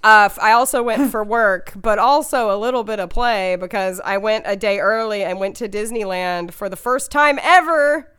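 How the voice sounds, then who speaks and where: A young woman chats casually as a podcast co-host, her voice smooth and clear but a touch hoarse from a cold. She laughs often and fills her talk with easy fillers, as if speaking on a video call. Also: medium pitch, normal speed, hardly any pauses, fairly bright tone. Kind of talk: casual chat.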